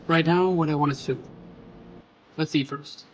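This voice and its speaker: A man's voice: fairly high pitch, steady tone, normal speed, a little hiss or hum, natural voice, rough recording. An actor playing a reserved, brooding male doctor who speaks tersely but politely in an audio romance drama.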